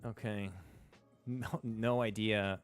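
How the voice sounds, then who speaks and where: A man's voice: on the low side, steady tone, slow pace. Stream host, man in his thirties, first language Spanish, casual and hesitant, faint music under the voice. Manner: thinks aloud, hesitant, many fillers and restarts. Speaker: livestream host. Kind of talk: casual live-stream commentary while playing. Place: small room at a desk. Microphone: close studio mic on a stand.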